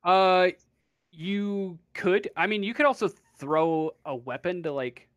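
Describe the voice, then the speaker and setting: A game master improvising narration for a tabletop roleplaying game, pausing often to think. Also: medium pitch, clear, resonant tone, dry recording.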